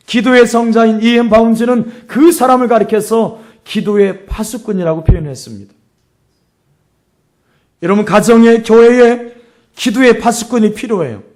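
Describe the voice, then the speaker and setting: A middle-aged man preaches in a notably high voice with a hoarse edge. He speaks as a pastor, moving between storytelling and exhortation, and repeats phrases for emphasis. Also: darkish tone.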